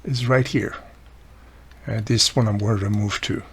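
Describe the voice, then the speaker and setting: Gravelly, husky man explaining repairs. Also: fairly low pitch, fairly even intonation, moderate pace. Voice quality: raspy, gravelly. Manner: explains step by step, thinking aloud. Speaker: repair video host. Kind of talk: casual hands-on tutorial narration.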